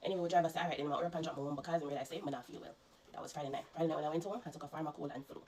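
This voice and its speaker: Fast-talking Jamaican woman, stuffy and low. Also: fairly even intonation, darkish tone, slight background noise. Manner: rapid, earnest, mixing patois into the telling. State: congested from a lingering flu. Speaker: vlogger. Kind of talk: casual personal storytelling to viewers.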